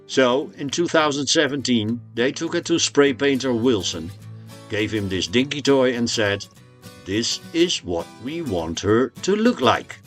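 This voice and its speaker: A man's voice: medium pitch, lively intonation, measured pace, soft background music. A man presenting a narrated tour of a motorhome as a vlogger.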